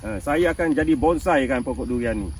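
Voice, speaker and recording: A man's voice: mid-range voice, expressive, a little fast, recorded from a distance, slight background noise. A man in his fifties with a Malaysian Malay accent, chatting casually to viewers about his orchard as a farming channel host, laughing often and checking that they follow. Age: 50s. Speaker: durian farmer and channel host. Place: outdoors in a durian orchard.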